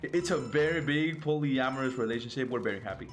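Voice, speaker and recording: A man's voice: mid-range voice, fast, soft background music. A man with a Mexican Spanish accent, a native Spanish speaker, casually commentating a game on a live stream in a playful, joking way with stammering restarts. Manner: playful, jokey, frequent laughter and stammering restarts.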